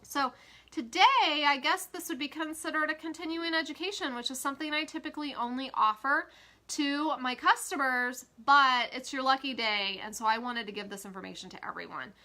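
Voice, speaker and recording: A woman's voice: sits high, fairly quick, pushed and bright. A woman with a stuffy, congested voice chatting casually as an essential oil educator, with frequent fillers. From Kansas, USA. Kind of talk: casual social media live talk.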